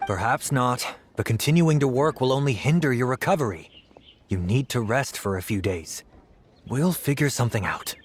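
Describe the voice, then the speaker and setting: An actor playing a kindly, gently firm innkeeper in a video game, speaking in a measured, polite, reassuring way. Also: male voice, mid-range voice, lively intonation, husky. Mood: concerned, firm. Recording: music playing behind the voice.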